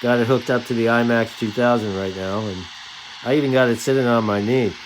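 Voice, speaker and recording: A middle-aged man talks like a hobbyist showing off his gear to fellow enthusiasts. His voice sits at an average pitch, and his delivery is informal and halting, in a conversational way. Clear background noise runs behind the voice. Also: fairly even intonation, poor audio. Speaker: radio hobbyist presenter. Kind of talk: casual hands-on gear demonstration.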